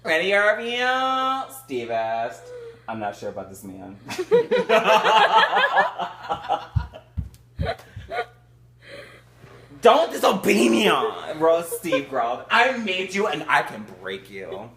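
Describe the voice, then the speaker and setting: A man reading a novel aloud for friends, shouting the characters' lines and breaking into crude jokes and laughter. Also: high-pitched, wildly swooping pitch, drawn-out words, creaky, pauses often, echoey room, crowd noise. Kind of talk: comedic group read-through with crude asides.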